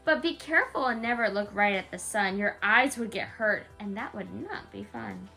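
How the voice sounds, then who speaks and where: A woman's voice: medium pitch, moderate pace, gravelly, pushed and bright, faint music behind. A woman reading a rhyming bedtime story aloud to a young child, in a sing-song way with casual asides.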